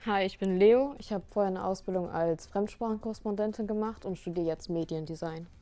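A young female dating show contestant chats briefly and casually. Her voice is mellow and mid-pitched, with quiet music underneath. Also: fairly quick. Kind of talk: brief casual self-introduction and chat.

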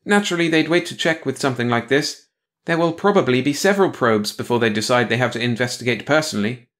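An imperious alien matriarch from a sci-fi audiobook, acted out by a male narrator, pitched high and projected firmly. She is coldly logical and smug, giving brisk, dismissive commands. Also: fairly bright tone, natural voice.

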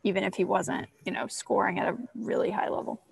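A woman's voice: medium pitch, steady tone, fairly quick, gravelly, slight background noise. A woman, a newspaper reporter, asking a hesitant question that trails off at a press conference.

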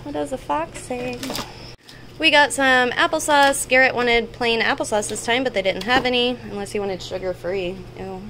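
A woman in her thirties speaks in a fairly high voice. She chats informally, listing items as a vlog host. There is some household noise behind her. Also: normal speed, hardly any pauses, sharp tone, poor audio. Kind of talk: casual chatty grocery haul narration. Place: indoors in a home kitchen.

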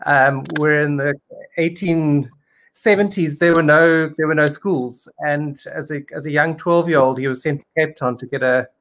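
A man in his forties, a wine producer answering interview questions over a video call, friendly and halting with many ums. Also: on the high side, steady tone, fairly bright tone, poor audio. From South Africa. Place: home study with bookshelves.

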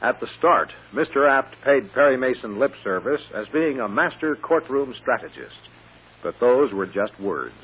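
A man with a husky voice, narrating an old radio serial as its announcer in a dramatic, scripted style. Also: medium pitch, lively intonation, moderate pace. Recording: muffled old radio recording.

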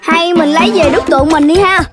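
A very high, childlike cartoon animal, bossy and earnest. Every line is emphatic, set over loud children's music. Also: fairly even intonation, a little fast. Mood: eager.

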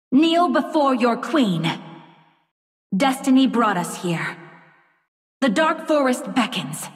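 An actress playing a vengeful dark fairytale princess turned sorceress queen in a video game, haughty, measured and theatrical. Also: high-pitched, exaggerated voice. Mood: commanding, fierce. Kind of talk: video game character voice lines. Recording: long reverb, distant from the mic.

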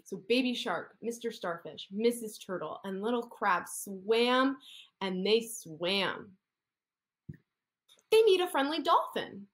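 A woman in her thirties, an occupational therapist, reads a picture story aloud to young children. She talks in a fairly high, very lively sing-song voice, coaxing the kids to copy her hand gestures. She is recorded indoors on a laptop webcam. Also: slow. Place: indoor room.

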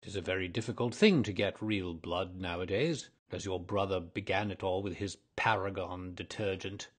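A man playing a weary, lonely old ghost. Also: sits low. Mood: meek. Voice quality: husky, slow and dreamy. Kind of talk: audiobook drama reading.

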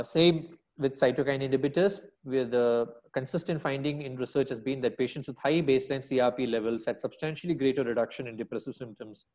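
A man's voice: mid-range voice, poor audio. Middle-aged Indian man giving a lecture. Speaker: medical lecturer. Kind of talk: slide lecture, explanatory.

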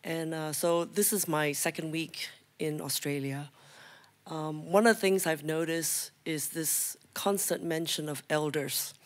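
A man from North Asia asking a question from the audience, slightly hoarse, hesitant with many fillers. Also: a little high, fairly even intonation, a little slow. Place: large auditorium.

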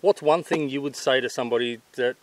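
Aussie male host, middle-aged, average pitch, informal matey interviewing for an outdoor vlog. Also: fairly quick, no echo, rough recording. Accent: Australian. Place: outdoors at a garden table in bushland.

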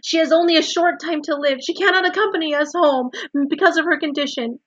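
A young storybook pig, acted out by a woman, frantic and devoted, cries out in a high, loud voice. He pleads with raw emotion, in a close, dry recording with slightly dull sound. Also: a little fast, no echo. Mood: urgent pleading. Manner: crying out, pleading.

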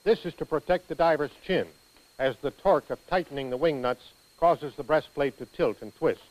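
An American man reading scripted instructional narration for a training film in a precise, measured manner. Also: fairly high pitch, a little mellow, rough recording.

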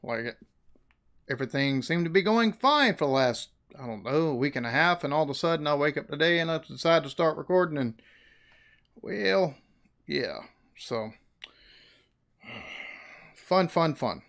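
American guy in his 30s chatting on a headset, slightly high voice, laughs easy. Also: expressive, measured pace, long pauses, a bit bright. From United States. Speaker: reaction video host. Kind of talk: casual chat. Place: small room in front of a green screen.

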